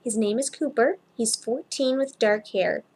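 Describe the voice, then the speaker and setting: A woman voicing a kind, helpful female shop assistant in a story, talking in a gentle, coaxing, reassuring way. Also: on the high side, unhurried, slightly rough. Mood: businesslike.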